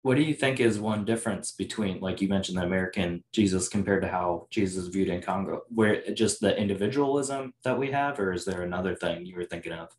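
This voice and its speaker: A young man with a very low voice hosts a podcast from a bare home room, his voice ringing with room echo. He listens attentively and asks curious follow-up questions. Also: quick pace, hardly any pauses, a little hiss or hum. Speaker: podcast host. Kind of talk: casual interview conversation. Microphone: headset.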